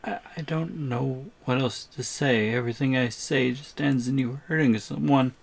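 An actor playing a shrunken, terrified captive in an audio roleplay, pleading in a halting way. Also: mid-range voice, fairly even intonation, creaky. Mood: defeated. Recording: music under the voice.

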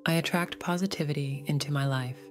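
A woman narrating a scripted guided meditation in a gentle, soothing, measured way. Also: sits low, steady tone, soft background music.

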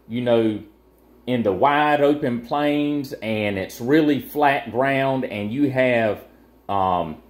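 A man's voice: mid-range voice, darkish tone, roomy sound. A man presenting a casual gear overview, explaining things in a plain, matter-of-fact way.

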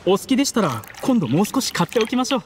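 An actor playing a cold, polite young schemer in a Japanese video game, measured and smugly theatrical. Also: male voice, sits high, very animated, slight background noise. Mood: deferential.

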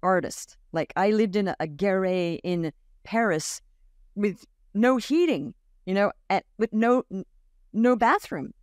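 Animated woman storyteller on a video call. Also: medium pitch, clean tone, no room sound, clean studio sound. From Australia. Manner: animated storyteller, acts out quoted voices, laughs often. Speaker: guest. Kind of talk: casual interview storytelling. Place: home room with bookshelf. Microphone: large studio mic on boom arm, video call.

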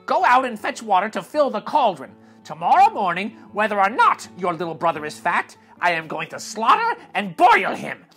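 A man voicing a gnarled, cruel old witch in a high, cackling crone voice for a read-aloud storybook. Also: huge pitch swings, normal speed, few pauses, bright, forceful tone, husky, faint music behind, exaggerated voice. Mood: commanding. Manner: high cackling crone voice, wheedling then barking.

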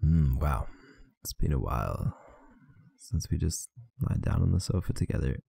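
A tired man talking softly and intimately, close to the mic, in an affectionate boyfriend roleplay. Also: rumbling low, sing-song, moderate pace, gravelly, slightly rough, no room sound. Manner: soft, intimate, affectionate murmuring. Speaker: ASMR roleplay performer.

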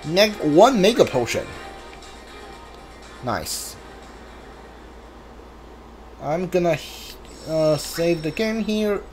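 A man's voice: on the high side, animated, very slow, pauses often, a little mellow, faint music behind. A man giving casual let's play commentary, muttering reactions and reading item names aloud as he plays. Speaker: video game player streaming a playthrough.

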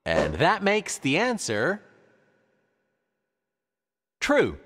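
A man voicing an upbeat animated quiz show host, with theatrical, emphatic scripted announcing. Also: on the high side, very slow, heavily acted. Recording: large hall reverb, faint crowd noise.

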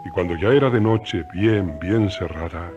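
A man's voice: low-pitched, measured pace, muffled recording, over music. A man narrating a radio drama as a scripted storyteller.

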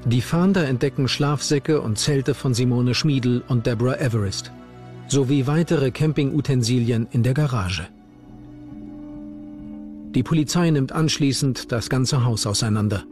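A man's voice: medium pitch, moderate pace, darkish tone, faint music behind. A man reading scripted narration for a true-crime documentary.

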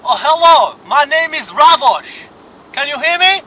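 A man's voice: very high-pitched, expressive, measured pace, bright, forceful tone, pitched above the performer's own voice, heavily acted. A man putting on a dim, confused caller for a prank phone call, rambling and feigning misunderstanding. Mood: bumbling. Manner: bumbling, absurd, feigned confusion. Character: dim foreign-sounding male caller Ravosh.

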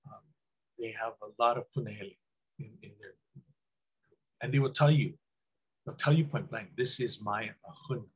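Husky-voiced man rambling on a video call. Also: on the low side, normal speed, pauses often, rough recording. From Hawaii. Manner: rambling, many "um"s and restarts. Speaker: guest speaker. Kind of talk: casual webinar talk. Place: small room indoors. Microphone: webcam on video call.

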